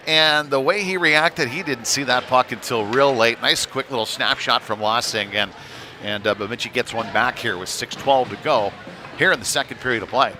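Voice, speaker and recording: A man's voice: mid-range voice, moderate pace. A man doing casual, conversational play-by-play commentary on a live hockey game, with slightly hesitant phrasing. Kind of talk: live amateur sports commentary. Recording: noisy live arena sound.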